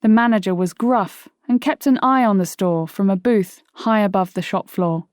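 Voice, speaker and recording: A woman reading her own nonfiction audiobook in a measured, articulate way. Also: mid-range voice, darkish tone, no echo, clean studio sound. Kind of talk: scripted audiobook narration. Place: dry studio.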